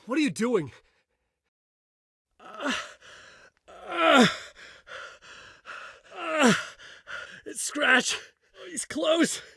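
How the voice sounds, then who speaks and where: A man's voice: sits high, gravelly, bright, forceful tone, slightly rough, dry recording, studio quality. A middle-aged man narrating a video game as a brooding writer, in a noir-like inner monologue. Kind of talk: hard-boiled game narration.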